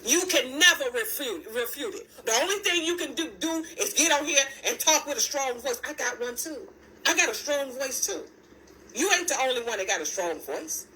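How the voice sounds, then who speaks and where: Woman, emphatic, confrontational, repetitive, bright voice, faint background noise. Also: sits high, lively intonation, moderate pace, rough recording. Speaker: commentator. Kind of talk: impassioned video rant.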